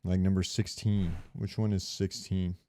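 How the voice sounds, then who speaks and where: A man co-hosting a casual gaming podcast, talking in a halting way as he thinks aloud. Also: sits low, level tone, warm tone, no echo, studio quality. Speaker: podcast co-host.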